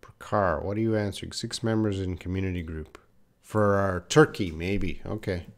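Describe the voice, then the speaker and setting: A man's voice: sits low, steady tone, moderate pace. A man, an English teacher, chatting on a live-stream class and answering viewers' comments as he reads them. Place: in Korea.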